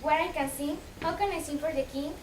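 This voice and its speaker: A frightened young peasant daughter a woman acting pleads in a high voice, anxiously repeating herself. It is stage-play speech, recorded at a distance with clear noise around it. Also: steady tone, fairly quick, close to an everyday voice. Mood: bewildered. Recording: distant echoey room, clear background noise.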